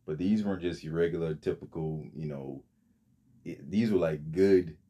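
Man, from the Bahamas, young adult, deep low voice, husky, mellow, rambling guest. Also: normal speed. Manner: rambling, many fillers and restarts. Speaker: podcast guest. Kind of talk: casual storytelling interview.

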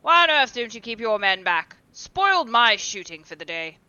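A woman voicing a hot-tempered aristocratic gentleman on a hunt, speaking loudly and brusquely. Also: mid-range voice, measured pace, dry recording, lo-fi sound, lightly acted. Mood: alarmed angry. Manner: loud, brusque and irritable. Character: blustering aristocratic male sportsman, hot-tempered.

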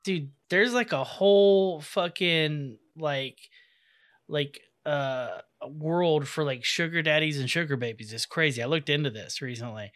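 A man's voice: fairly high pitch, unhurried, a bit bright. A man hosting a podcast, joking and swearing in casual chat with guests. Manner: profane, joking, laughs often. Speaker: podcast host.

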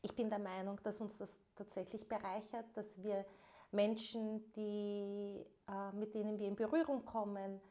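Young woman co-host, unhurried and deliberate, with many pauses and fillers. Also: medium pitch, level tone, warm tone. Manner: slow, thoughtful, frequent hesitations. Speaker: podcast co-host. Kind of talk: casual chat.

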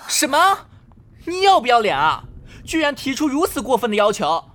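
A man's voice: extremely high pitch, big pitch swings, moderate pace, sharp tone, exaggerated voice. A man playing a shy, jealous young fox spirit in a Chinese period audio drama, with a whiny, pouting, emotional delivery. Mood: indignant.

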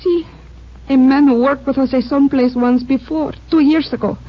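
A woman's voice: sits high, fairly even intonation, moderate pace, close to an everyday voice. An actress playing a sorrowful, proud widowed mother with plaintive, earnest speech in an old radio drama. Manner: plaintive, simple, earnest phrasing. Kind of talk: 1950s radio drama acting. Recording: muffled old radio recording.

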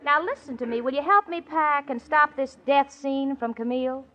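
An actress playing a sensible, sarcastic, exasperated young American woman, brisk and wry, in a 1940s radio comedy. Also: high voice, close to an everyday voice. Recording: muffled noisy old radio recording.

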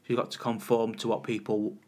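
A man co-hosting a podcast in casual chat, with a loud voice that carries. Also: fairly low pitch, level tone, a little fast. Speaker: podcast co-host.

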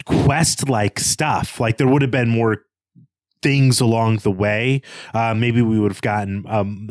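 A man's voice: lowish voice, dry recording, studio quality. A man co-hosting a podcast, discussing film casually in a thoughtful, hesitant way. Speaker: podcast co-host.

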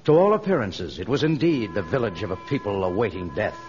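A man's voice: on the low side, big pitch swings, brisk, a little mellow. Dramatic male radio-serial narrator over music. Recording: dull old radio recording, music behind.

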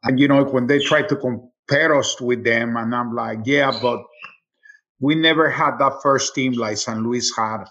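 Man, middle-aged, Mexican accent, native Spanish speaker, halting casual interview talk, medium pitch. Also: a bit bright, lo-fi sound. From Mexico City, Mexico. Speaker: sports radio play-by-play announcer, interview guest.

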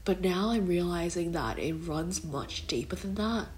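A woman's voice: on the low side, measured pace, very noisy. A young woman hosting her own podcast in a casual, rambling way, filling her talk with "like" and "kind of", her voice nasal from a blocked nose. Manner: rambling, heavy use of "like" and "kind of". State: recovering from illness, congested. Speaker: podcast host. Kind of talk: casual solo podcast chat.

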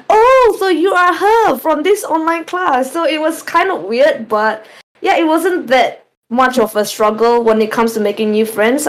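A woman's voice: on the high side, rough recording. A young woman from Myanmar, a student guest on a casual podcast, chatting freely and often saying like. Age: young adult. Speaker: guest, student society vice president.

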